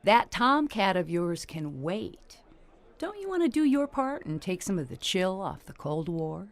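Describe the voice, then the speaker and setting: A woman's voice: medium pitch, sing-song, measured pace. Brassy worldly older showgirl, swooping delivery. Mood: persuasive. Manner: brassy, slangy, coaxing. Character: Julie, worldly older female ex-performer, brassy, motherly pal. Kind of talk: 1950s-style noir radio drama acting.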